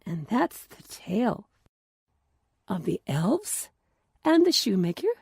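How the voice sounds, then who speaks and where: A woman tells a children's story at a slow, measured pace, her pitch moving widely. Her delivery is expressive and deliberate, with hushed touches, in a very dry, close recording. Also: vocal fry, husky, no room sound. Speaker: storyteller and podcast host. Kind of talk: scripted children's story narration.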